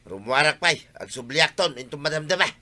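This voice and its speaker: A man's voice: fairly high pitch, animated, brisk, sharp tone. A man with an Ilocano accent acting a brash, argumentative village man in a comedy drama. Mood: protesting.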